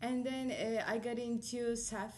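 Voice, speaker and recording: A woman's voice: a little high, fairly even intonation, a little slow, slight background noise. A woman in her twenties from Turkey, with a Turkish accent, talking as an interview guest, friendly and full of "like" fillers. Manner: friendly, uses many "like" fillers. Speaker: guest, college alumna. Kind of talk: casual interview.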